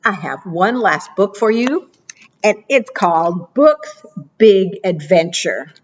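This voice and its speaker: Woman, children's librarian, unhurried, sing-song, muffled lo-fi sound. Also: mid-range voice. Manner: slow sing-song, lively and encouraging. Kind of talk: preschool storytime reading.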